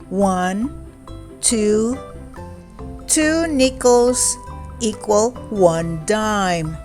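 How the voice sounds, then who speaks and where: A woman reads a storybook to young children at a very unhurried pace. Her voice sits at a middle pitch, and she delivers short, deliberate phrases with a playful touch. Faint music plays behind her.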